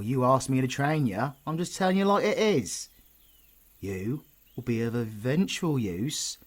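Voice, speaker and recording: A British man playing a cocky, irritable, teasing supervillain in an intimate audio roleplay, with a husky voice and gruff, sardonic delivery. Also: medium pitch, full character voice. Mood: mocking. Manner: gruff, sardonic, swearing banter.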